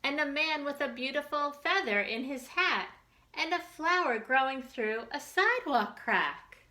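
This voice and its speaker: A woman leads a children's storytime with a bright, slightly high voice. She takes her time over short, simple phrases. She speaks straight to young listeners, adding small questions and asides as she reads. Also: lively intonation, measured pace. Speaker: children's librarian storytime host.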